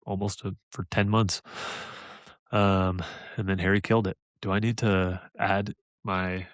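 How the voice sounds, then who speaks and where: A man co-hosting a casual fan podcast, talking excitedly and pitching ideas with laughs. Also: a little slow, no echo, clean studio sound. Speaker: podcast co-host.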